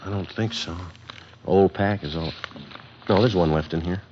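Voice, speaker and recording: An actor playing a stolid police detective and case narrator in a 1950s radio drama, speaking in terse, matter-of-fact, understated lines. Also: male voice, low-pitched, normal speed, a little hiss or hum, natural voice. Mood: casual. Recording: dull vintage 1950s radio recording with music.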